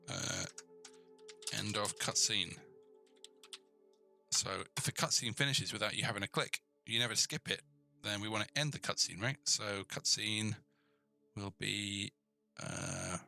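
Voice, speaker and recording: A man's voice: on the low side, takes it slowly, gravelly, long pauses, sharp tone, soft background music. A man giving an informal screencast coding tutorial, thinking aloud and checking in with the viewer as he goes. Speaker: programming tutor.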